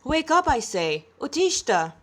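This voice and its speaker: A woman voicing a gentle, stately male sage in a storybook reading. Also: high-pitched, very animated, unhurried, a little hiss or hum, voice pushed up. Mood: rousing. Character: glowing male sage, kindly, serene, mirthful.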